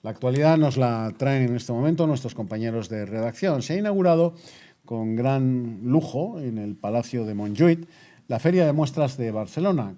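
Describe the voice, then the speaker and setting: A man presents the news with an accent from Spain. His voice is dark and relaxed, sitting at a medium pitch. He speaks as a bulletin presenter.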